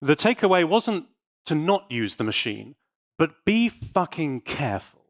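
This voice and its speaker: A man speaks as a conference presenter with a very lively, swooping delivery. He is theatrical and emphatic, leaning on key phrases in a prepared talk laced with wry, dry humor. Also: a little high, a little slow.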